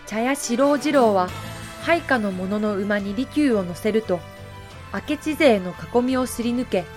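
Casting: woman narrator for a history drama, lightly high voice, courteous explanatory read over music. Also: expressive, unhurried. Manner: polite, explanatory.

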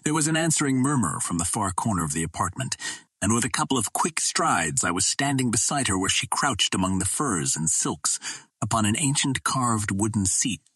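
Man narrating audiobook, low voice, husky, earnest and measured, close dry mic. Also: animated, fairly bright tone, dry recording. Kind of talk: dramatic audiobook reading.

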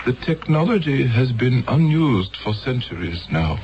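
An actor playing a grave, fatherly elder of a peaceful future world in solemn, deliberate 1970s radio drama style. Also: medium pitch, big pitch swings. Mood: gently consoling. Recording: dull 1970s radio broadcast recording.

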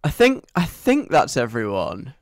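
A man's voice: medium pitch, wildly swooping pitch, a little slow, no echo, clean studio sound. A British man hosting a radio show in a casual, chatty, wry and self-deprecating conversational way.

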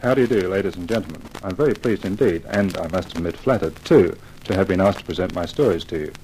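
A man's voice: low-pitched, fast, a little mellow, slight background noise, low-quality recording. A man appearing as a guest author in a 1950s radio interview, courteous, modest and formal.

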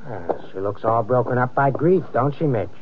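An actor playing a menacing, sarcastic gangster boss with mocking, slangy talk in an old-time radio crime drama. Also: male voice, mid-range voice, expressive, moderate pace, husky. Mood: mocking menace. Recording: muffled, noisy old radio recording.

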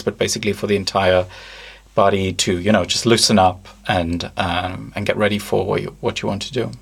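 Man, webinar host, lowish voice, friendly, hesitant questions. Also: slightly rough. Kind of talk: online interview hosting.

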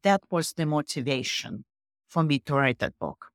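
Middle-aged woman, low voice, foreign accent, emphatic interview guest, dry sound. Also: expressive, moderate pace, no echo. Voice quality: low voice with a non-native accent. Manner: emphatic, leans on facts and figures. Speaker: guest author. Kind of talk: interview conversation.

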